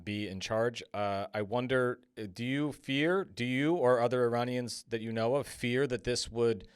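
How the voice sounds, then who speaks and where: A man hosting a podcast interview, putting long, reflective questions in a conversational, measured way. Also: medium pitch, clear, resonant tone, dry recording, clean studio sound.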